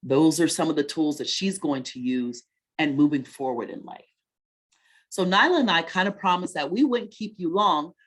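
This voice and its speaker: Lower-pitched woman hosting children's story time. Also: moderate pace. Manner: encouraging, teacher-like, echoes the child's answers. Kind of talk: conversational presenting to children, with leading questions.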